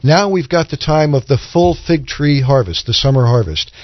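Male religious panelist, mid-pitched, earnest and explanatory, dry lo-fi recording. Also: animated, moderate pace, no room sound. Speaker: religious podcast panelist explaining Bible prophecy. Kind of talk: conversational Bible discussion.

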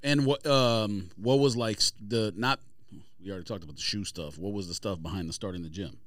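A man's voice: on the low side, a little fast, fairly bright tone, no echo. A man hosting a podcast in a studio, talking casually and feeling his way through questions and opinions. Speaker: podcast host. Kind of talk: casual interview chat.

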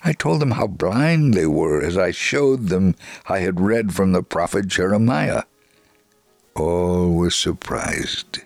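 A man giving an earnest, measured first-person reading of a missionary's letter, in scripted narration. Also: deep voice, expressive, gravelly, no room sound, soft background music.

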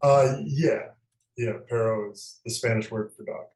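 A man's voice: mid-range voice, steady tone, a little slow, darkish tone, a little hiss or hum. A man from the American Midwest is a guest novelist giving interview answers. He speaks thoughtfully and searchingly, with frequent fillers, and his voice carries a noticeable room echo.

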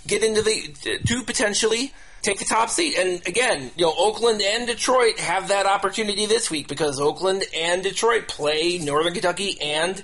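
A man's voice: sits high, fairly bright tone, poor audio. A man hosting a casual sports talk show, repeating himself and restarting phrases as he goes. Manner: halting, repetitive, restarts phrases over and over. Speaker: podcast host.

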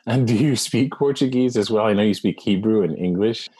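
A man's voice: lowish voice, a little fast, mellow, husky. A man hosting a conversational interview, putting questions to his guest. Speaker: interview host.

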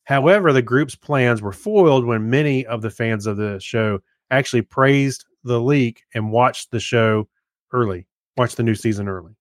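Man co-hosting, medium pitch, dry sound. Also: moderate pace, no echo. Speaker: podcast co-host. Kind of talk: casual chat.

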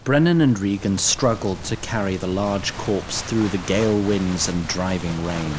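A man narrating a fantasy audio drama in scripted storytelling style. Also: a little slow. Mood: descriptive. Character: male narrator of a fantasy tale. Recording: noisy, rumbling effects behind.

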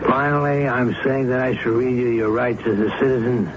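An actor playing a stern, methodical police detective in a radio drama, questioning formally and insistently. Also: male voice, mid-range voice, steady tone, fairly quick, lightly acted. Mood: stern, probing. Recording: muffled, noisy old radio broadcast.

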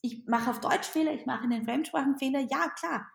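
A woman teacher with an Austrian German accent, not a native German speaker, answering interview questions in a lively way, often repeating words as she restarts. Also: high voice, brisk, gravelly, echoey room.

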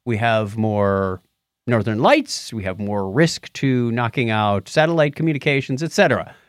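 A man's voice: mid-range voice, moderate pace, no room sound, studio quality. A man co-hosting a science news podcast, chatting casually and cracking jokes as he comments on stories. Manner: jokey, wisecracking.

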